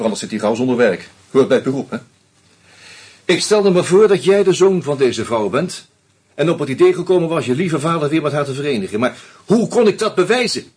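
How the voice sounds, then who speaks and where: An actor playing a shrewd screenwriter who methodically unmasks a killer, with a husky voice and dry irony. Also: male voice, mid-range voice, a little mellow. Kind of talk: radio play acting.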